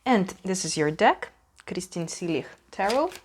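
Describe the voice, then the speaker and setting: Ukrainian woman w russian accent doing a casual tarot reading, kinda low voice, halting but emphatic. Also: big pitch swings, a little hiss or hum. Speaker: tarot reader hosting a channel.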